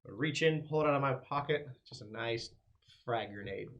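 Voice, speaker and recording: A man's voice: medium pitch, normal speed, fairly bright tone, muffled recording. A man playing a tabletop game, casually asking about rules and describing his moves.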